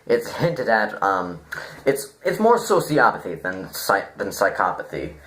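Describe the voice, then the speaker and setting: A man giving a casual, unscripted review, halting and rambling as he thinks aloud. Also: on the low side, sing-song, a bit bright, slight background noise. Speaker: online story reviewer.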